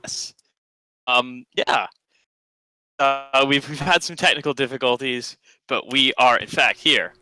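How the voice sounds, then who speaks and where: A man's voice: medium pitch, measured pace, low-quality recording. A man from Canada with a general North American accent, a podcast guest in casual chat, rambling and hesitant with lots of fillers.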